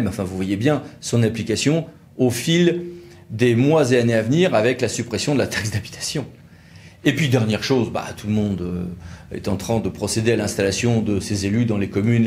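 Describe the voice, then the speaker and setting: A man's voice: medium pitch, darkish tone. A man presenting a legal news review, explaining points in an emphatic, didactic way with conversational asides. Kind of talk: brisk explanatory commentary with interview questions.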